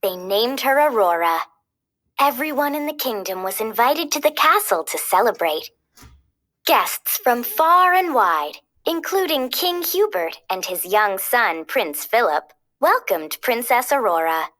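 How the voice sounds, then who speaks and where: A woman reading a children's storybook aloud as a storyteller, expressive and clearly paced. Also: a little high, a little slow.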